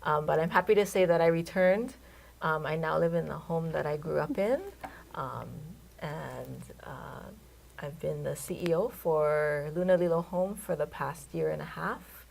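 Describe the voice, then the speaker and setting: Low gravelly woman guest from Hawaii. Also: a little mellow, poor audio. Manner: measured, explanatory, many um fillers. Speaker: guest, care home CEO. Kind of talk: casual interview answers.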